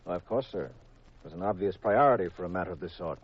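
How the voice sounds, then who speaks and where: An actor playing a cool, self-assured private detective in a 1950s radio drama, composed and clipped on a vintage recording. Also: male voice, low-pitched, expressive, fast, breathy edge, lo-fi sound, heavily acted. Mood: attentive, businesslike. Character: cool, self-assured married male private detective, narrating his case.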